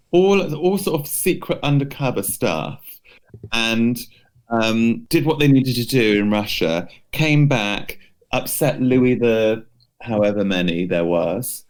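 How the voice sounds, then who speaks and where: A man's voice: medium pitch, a little mellow. A man co-hosting a podcast, telling a history story casually and unscripted, in a hesitant, loosely phrased way with some hedging. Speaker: podcast co-host presenting a history feature.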